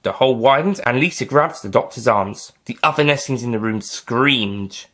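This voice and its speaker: A man's voice: medium pitch, lively intonation, normal speed, fairly bright tone. A man reading scripted audiobook narration as the narrator of a story.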